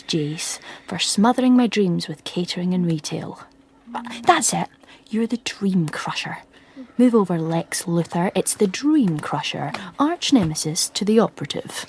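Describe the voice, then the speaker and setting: A woman's voice: medium pitch, darkish tone, slight background noise. An actress playing a shy, wry, unemployed young woman graduate in a radio play, hesitant aloud and confiding in her inner monologue. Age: young adult.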